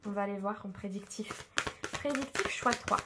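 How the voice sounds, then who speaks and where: A woman's voice: mid-range voice, moderate pace, very noisy. A woman giving a casual tarot card reading, chatty and hesitant with many filler sounds, her nose stuffed up from a sudden cold.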